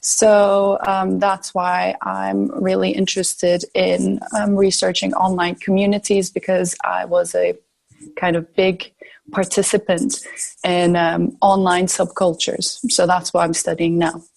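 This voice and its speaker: A woman's voice: lowish voice, level tone, measured pace, creaky, darkish tone. Thoughtful young woman, hesitant interviewee. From Turkey, grew up in the Balkans. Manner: thoughtful, hesitant, searching for words. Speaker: podcast guest, sociology researcher. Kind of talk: conversational interview answers.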